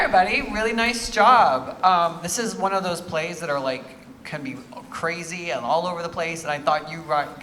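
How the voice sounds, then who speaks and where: Bright-voiced man judging in a reverberant hall. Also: on the high side. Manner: encouraging, conversational. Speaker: theatre competition judge. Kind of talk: spoken feedback to performers. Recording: reverberant hall, faint noise.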